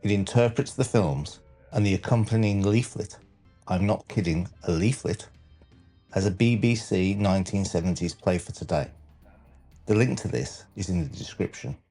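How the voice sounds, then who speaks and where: A man narrating a scripted countdown documentary with a measured, dramatic delivery. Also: low voice, dark tone, soft background music. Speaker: narrator and channel host.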